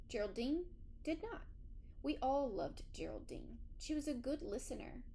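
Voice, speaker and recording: Mellow, high-voiced woman reading a children's story. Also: a little hiss or hum. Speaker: audiobook reader. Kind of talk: expressive read-aloud of a children's story, narrated in first person as a dog.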